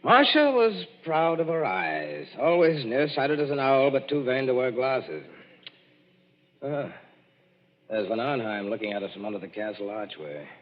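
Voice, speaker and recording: An actor playing a vain, blustering stage star in an old radio drama, talking in a theatrical way. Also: male voice, mid-range voice, very animated, measured pace. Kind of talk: 1940s radio acting. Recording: dull old radio broadcast, reverberant.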